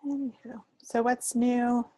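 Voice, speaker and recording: A woman's voice: on the high side, little pitch movement, unhurried, mellow, no room sound. A woman co-hosting a podcast, chatting casually in a playful, wry way. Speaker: podcast co-host. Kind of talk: casual chat.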